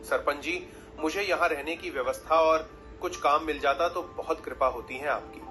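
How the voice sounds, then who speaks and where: A man's voice: a little high, moderate pace, pushed and bright, soft background music. A man playing a humble, earnest young village husband in a cartoon, speaking respectfully.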